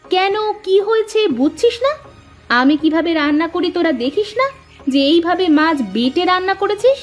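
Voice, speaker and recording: A woman's voice: high voice, lively intonation, a little fast. A woman voicing a scolding middle-aged village mother in a cartoon story, nagging and exasperated, with music underneath.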